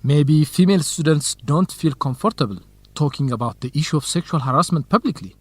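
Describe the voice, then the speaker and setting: An actor playing an earnest, cautious, lovestruck young research assistant in an educational radio drama. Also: male voice, on the high side, expressive, fairly quick, breathy edge, no room sound. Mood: reasonable, questioning. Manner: earnest, anxious, principled.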